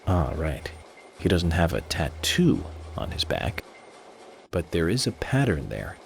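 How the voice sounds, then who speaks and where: An actor with an American accent playing a composed, confident FBI agent in a video game, speaking in a measured, deliberate way. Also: male voice, low-pitched, huge pitch swings, vocal fry, slight background noise, full character voice. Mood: calm, certain. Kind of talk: dramatic game cutscene acting.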